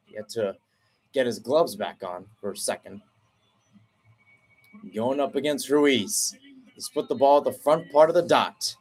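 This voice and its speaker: A young man doing excited play-by-play commentary of a soccer penalty shootout, with his pitch and volume leaping at each kick. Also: unhurried, pauses often, breathy edge. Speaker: radio play-by-play announcer. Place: at home, not at the stadium.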